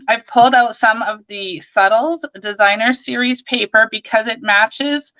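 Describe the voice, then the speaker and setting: A hoarse woman hosting a live crafting stream, chatting and greeting viewers by name as she works. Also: a little high, measured pace, a bit bright, highs cut off. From Canada.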